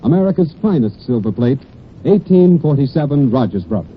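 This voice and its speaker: A man's voice: mid-range voice, very animated, fairly quick, over loud music. A man reading a scripted 1940s radio commercial as an announcer, earnest and persuasive, with careful phrasing. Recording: dull, noisy 1940s radio broadcast.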